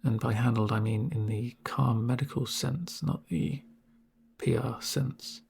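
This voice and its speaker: A man playing a calm medic in a soft-spoken ASMR roleplay, gentle and reassuring with dry humour and a breathy, near-whispered voice. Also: mid-range voice, exaggerated voice. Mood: dryly amused. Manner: gentle, unhurried, reassuring murmur.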